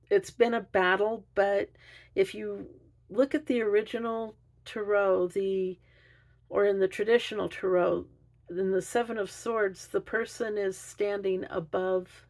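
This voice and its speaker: A woman doing an unscripted tarot reading, thinking aloud in a halting, musing way. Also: mid-range voice. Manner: hesitant, halting delivery with musing pauses. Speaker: tarot reader.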